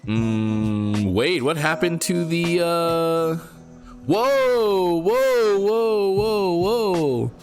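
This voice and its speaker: A man who is not a native English speaker, casually thinking aloud while he reads and reacts to a card game article, rereading lines and breaking into excited exclamations. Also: a little high, very slow, faint music behind. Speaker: card game content creator.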